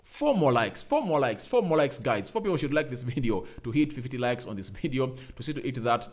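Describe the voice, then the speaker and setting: A young man hosting a casual fan live stream, reading out viewer names and comments, repeating himself and pushing up to loud calls for likes. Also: mid-range voice, sing-song, fairly quick. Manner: emphatic, rising to loud calls. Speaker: fan channel host.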